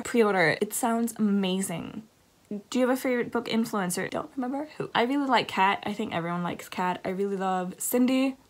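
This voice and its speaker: A woman in her twenties, a native Danish speaker, talking through quick-fire book questions in a casual vlog from her bedroom, quick and opinionated. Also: mid-range voice. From Denmark. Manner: quick, opinionated, expressive with hand gestures. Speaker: book vlogger. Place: bedroom, indoors, small room.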